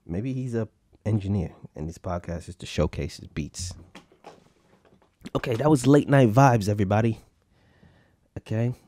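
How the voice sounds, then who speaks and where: A man's voice: fairly low pitch, normal speed, pauses often, mellow, dry recording. An American man giving casual, comedic commentary in a blunt, mocking way, repeating himself as he riffs. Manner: mocking, blunt, repetitive riffing. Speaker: podcast host reviewing other podcasts.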